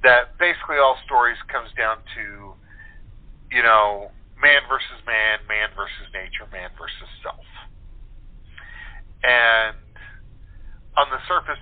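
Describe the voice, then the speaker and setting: Halting man on a telephone line. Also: mid-range voice. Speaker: guest, playwright and actor. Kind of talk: casual interview discussion.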